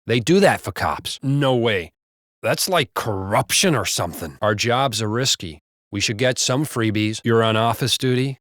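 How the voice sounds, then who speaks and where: An actor playing a gossipy, foul-mouthed American cop in casual, blunt video game banter. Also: male voice, mid-range voice, lively intonation, moderate pace, fairly bright tone, no room sound, studio quality, natural voice. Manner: casual, blunt, profane wisecracking.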